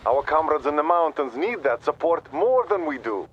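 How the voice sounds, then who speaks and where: A man's voice: on the high side, animated, lightly acted. Man as a sober Soviet officer, dull radio sound. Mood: matter-of-fact. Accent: Russian. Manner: matter-of-fact, measured. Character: sober, thoughtful Soviet military intelligence officer. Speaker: intelligence officer character. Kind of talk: video game voice acting, military briefing. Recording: dull radio sound, faint battle noise.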